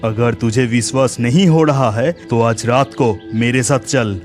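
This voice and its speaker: A man's voice: medium pitch, unhurried, a little mellow, soft background music, lightly acted. A man voicing a plucky, boastful young village fisherman in a cartoon story, earnest and insistent.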